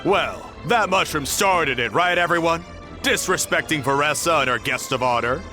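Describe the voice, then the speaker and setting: A man's voice: on the high side, animated, normal speed, music in the background, close to an everyday voice. A man playing a loyal, spirited tribesman in an anime-style video game. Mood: indignant.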